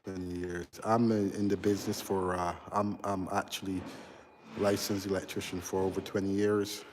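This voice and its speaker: A man in his forties with a low, level voice that barely rises or falls. He chats haltingly with viewers on a phone stream while touring an unfinished house. A faint hum hangs in the background. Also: little pitch movement, a little mellow. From Barbados. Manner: halting, chatty, reads out and answers viewers' comments. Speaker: electrical contractor. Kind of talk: casual live-stream walkthrough. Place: large unfinished house under construction, indoors.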